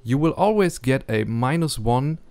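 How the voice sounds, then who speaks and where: A man's voice: mid-range voice, expressive, moderate pace, a little mellow, smooth. A man with a German accent, not a native English speaker, narrating a strategy game tutorial carefully, with frequent ums and restarts.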